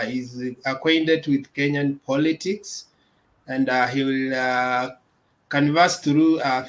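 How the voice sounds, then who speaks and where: Slow, hesitant male interviewer. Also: mid-range voice. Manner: many "uh" pauses. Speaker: interviewer and host.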